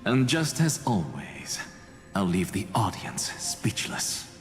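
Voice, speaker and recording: A lowish, swooping voice, an arrogant genius alchemist in a video game. He declaims with flamboyant theatrical daring. The sound has long reverb, with music clearly behind. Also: very animated, takes it slowly. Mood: grandly confident. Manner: flamboyant, dramatic.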